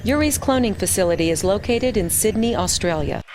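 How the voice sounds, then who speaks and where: An actress playing a composed female tactical announcer and adviser in a war game, clipped, measured and official. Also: mid-range voice, animated, music in the background, natural voice. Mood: composed, alert. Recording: noisy game audio, gunfire behind.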